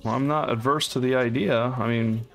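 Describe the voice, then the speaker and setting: Man, gravelly voice, casual game chat, faint music behind. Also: mid-range voice, fairly even intonation, normal speed. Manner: questioning, reads game text aloud. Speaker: co-op player. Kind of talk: casual let's-play chat.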